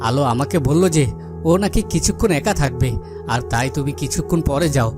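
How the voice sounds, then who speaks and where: A man playing a gently commanding, concerned king in a cartoon fairy tale, speaking in an earnest, measured way. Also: mid-range voice, animated, over music, lightly acted. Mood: concerned, coaxing.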